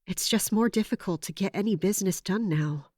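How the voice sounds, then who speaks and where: A woman's voice: mid-range voice, fairly quick, a little mellow, no echo, natural voice. Weary, guarded captain played by a woman, close and dry. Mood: weary, worried. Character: weary guarded female captain, quietly suspicious, formal. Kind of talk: audio drama acting.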